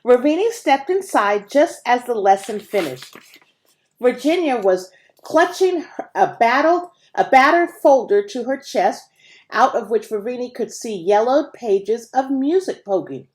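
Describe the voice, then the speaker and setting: Woman reading a story with lively, swooping pitch. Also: measured pace. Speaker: audiobook reader. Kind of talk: scripted storybook narration.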